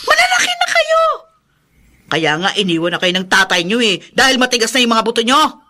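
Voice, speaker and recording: Brash, callous mother, sharp-voiced. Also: on the high side, wildly swooping pitch, a little fast, exaggerated voice. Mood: irritated, scornful. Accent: Filipino. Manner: brash, dismissive, scornful. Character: selfish, callous mother abandoning her grown children. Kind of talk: Tagalog radio drama acting.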